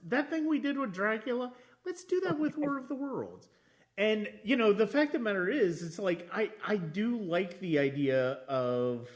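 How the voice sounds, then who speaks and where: A man hosting a casual film discussion, speaking haltingly and circling back over his phrases. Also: a little high. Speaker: podcast host.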